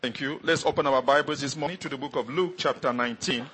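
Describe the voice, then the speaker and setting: Middle-aged guy from africa preaching, french is his first language, bright voice, kinda fast, lots of uhs. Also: medium pitch, a little hiss or hum. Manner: quick delivery with many fillers and restarts. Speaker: guest pastor. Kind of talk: sermon.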